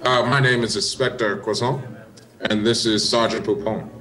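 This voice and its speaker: An actor playing a polite, probing American police inspector with measured, courteous questioning in a radio play. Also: male voice, on the low side, fairly even intonation, fairly bright tone, natural voice. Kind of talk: radio play detective dialogue.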